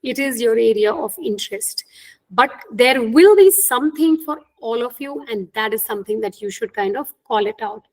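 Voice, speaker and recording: A woman's voice: on the high side, expressive, moderate pace, poor audio. Indian woman coaching on interviews. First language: Hindi. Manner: earnest, frequent "right?" tag questions. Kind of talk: webinar talk on job interviews.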